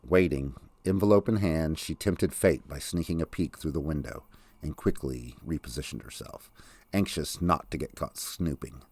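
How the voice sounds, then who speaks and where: A man's voice: extremely low pitch, normal speed, darkish tone, slightly rough. A man reading scripted audiobook fiction as its narrator. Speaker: author reading own audiobook.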